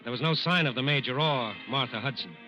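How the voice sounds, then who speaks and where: An actor as an authoritative crime-drama narrator, emphatic and declamatory in 1940s radio style. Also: male voice, mid-range voice, brisk, natural voice. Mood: urgent, grave. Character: urgent, authoritative male crime-drama announcer. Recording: muffled old-time radio recording. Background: music.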